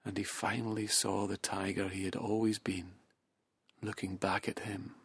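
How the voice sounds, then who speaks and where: A man guiding a hypnosis session, speaking softly and slowly in a half-whispered, soothing delivery. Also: fairly low pitch, flat delivery, creaky, breathy edge, no room sound. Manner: soft, slow, half-whispered. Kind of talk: guided hypnosis narration.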